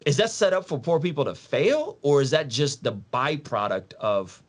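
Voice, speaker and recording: A man's voice: on the high side, big pitch swings, normal speed. A man from Soviet Russia, a podcast guest explaining things in an emphatic, casual, slangy way, repeating phrases and posing rhetorical questions.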